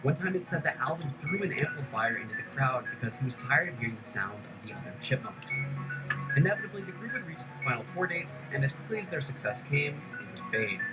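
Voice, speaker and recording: A man narrates a video essay from a script in documentary style. His mid-range voice moves with lively intonation. Music plays clearly behind him. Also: medium pitch, brisk, low-quality recording.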